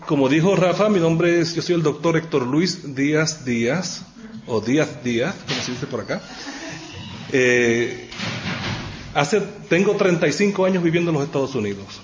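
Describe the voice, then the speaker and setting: A mature Puerto Rican man with a fairly high voice addresses an audience as a guest professor. His delivery is informal and good-humoured. The recording is dull, with clear noise behind him. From Puerto Rico. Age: middle-aged or older. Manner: good-humoured, self-aware asides. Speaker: university professor, guest speaker. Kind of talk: informal public lecture to an audience.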